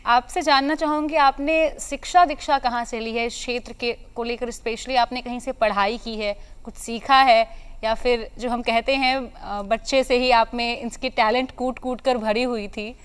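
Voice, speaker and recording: High-pitched woman, TV interviewer. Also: fairly even intonation, moderate pace, clear, resonant tone. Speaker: TV host. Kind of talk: morning show interview.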